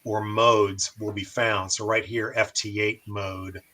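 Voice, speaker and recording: A man hosting a show, giving a live explanatory demonstration in a methodical way with pauses. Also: on the low side, level tone, bright, forceful tone.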